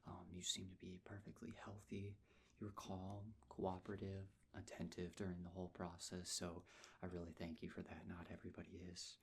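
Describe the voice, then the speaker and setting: A man speaking softly and breathily, often in a whisper, gently reassuring the listener as a nurse in an ASMR medical roleplay. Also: deep voice, monotone, vocal fry, husky. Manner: gentle, reassuring, unhurried personal attention. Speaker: ASMR performer playing a nurse. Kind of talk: soft-spoken ASMR medical check-up roleplay.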